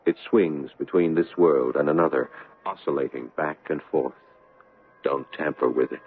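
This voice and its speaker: A man's voice: very deep voice, steady tone, moderate pace, slight background noise. A man playing an earnest, anxious young bridegroom, telling his story in formal, ornate phrases in a 1970s radio drama. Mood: troubled, tender. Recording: muffled vintage radio broadcast.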